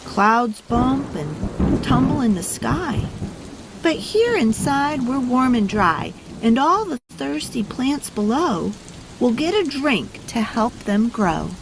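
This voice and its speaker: A woman voicing a friendly little blue truck in a storybook, bright and welcoming. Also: fairly high pitch, sing-song, slow, noisy background, voice pushed up, heavily acted. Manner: bright, welcoming, sing-song.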